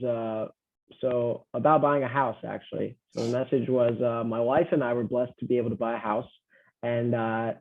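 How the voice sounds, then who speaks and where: A man casually hosting a Q&A over a remote call, introducing and reading out questions. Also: mid-range voice. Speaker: co-host reading out viewer questions. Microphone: remote call audio. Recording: tinny, phone-like call audio.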